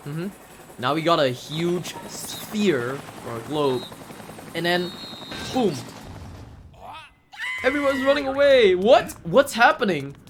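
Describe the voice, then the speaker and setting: A man's voice: high-pitched, lively intonation, slow, pauses often, a crowd in the background. A man giving casual live commentary while playing a video game, moving between plain explanation and playful mock-dramatic exclamation. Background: video game music.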